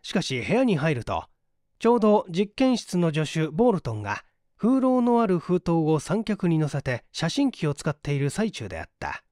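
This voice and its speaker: A man's voice: on the high side, big pitch swings, moderate pace, a little mellow, no room sound, clean studio sound. A man reading a mystery story aloud as scripted literary narration, in a theatrical storytelling manner. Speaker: audiobook reader.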